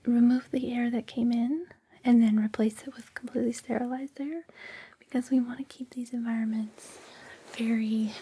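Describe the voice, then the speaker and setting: A woman whispering gently and reassuringly in an ASMR personal-attention roleplay, with a breathy, soothing delivery. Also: on the high side. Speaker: ASMR host playing a space suit fitting attendant.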